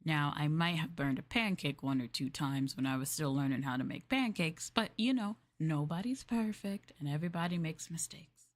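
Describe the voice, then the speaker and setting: A woman's voice: deep voice, moderate pace, hardly any pauses. Polite maid-roleplaying woman streamer. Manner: polite, formal maid phrasing with giggles and soft asides. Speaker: VTuber streamer. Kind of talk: maid roleplay livestream chatting with chat.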